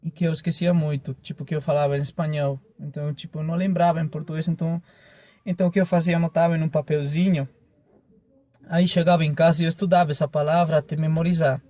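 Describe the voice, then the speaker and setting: Bolivian guy talking easy, fairly high voice, soft mellow tone, lots of fillers. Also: fast, dry recording. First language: Spanish. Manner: relaxed, leans on fillers. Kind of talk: casual testimonial.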